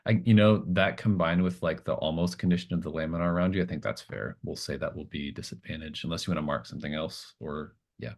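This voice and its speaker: A man's voice: rumbling low, fast, creaky, hardly any pauses. A man acting as game master, improvising tabletop narration in a hesitant, thinking-aloud manner.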